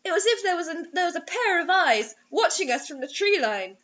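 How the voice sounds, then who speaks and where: A young woman reads a story aloud in a high, bright voice. Her delivery is theatrical and sarcastic, breaking into mocking commentary. The sound is dull and lo-fi, like a webcam in a small room. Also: big pitch swings, brisk. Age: young adult. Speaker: co-host reading a story aloud.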